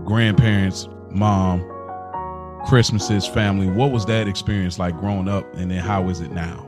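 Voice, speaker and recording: Male podcast co-host, low voice, loose slangy talk, emphatic and repetitive when making a point, music underneath. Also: steady tone, normal speed. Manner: emphatic, repeats words as he makes his point. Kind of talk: casual, slangy podcast chat. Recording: music playing behind the voice. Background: background music.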